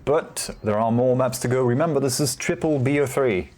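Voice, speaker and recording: A man casting a competitive video game match solo, chatty and relaxed, often correcting himself. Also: mid-range voice, normal speed. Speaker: esports caster. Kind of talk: solo play-by-play commentary.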